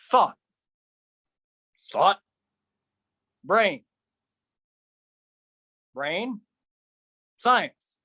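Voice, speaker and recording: Solo monologue performer: theatrical, intense spoken word, unhurried delivery, mid-range voice. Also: fairly bright tone, no room sound. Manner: theatrical, intense, swinging between low musing and raised outbursts.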